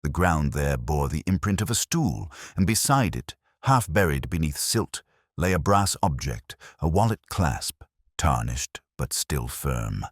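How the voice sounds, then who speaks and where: Very deep-voiced brooding detective. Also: male voice, big pitch swings, husky, dry recording, clean studio sound, full character voice. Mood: brooding, reflective. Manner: measured, literary, brooding, deliberate pauses. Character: melancholy, wry middle-aged male English police inspector. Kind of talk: scripted first-person detective narration.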